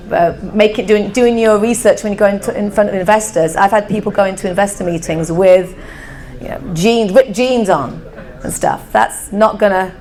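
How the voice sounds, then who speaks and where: A woman hosting an event, introducing the next presenter in a halting way with fillers and restarts. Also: medium pitch. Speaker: event host. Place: event venue.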